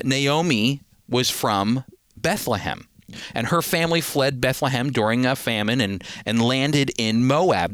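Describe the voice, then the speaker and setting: A man's voice: mid-range voice, a bit bright, smooth, dry recording. A man teaching the Bible on a radio program, earnest and emphatic, often repeating a word as he starts a thought.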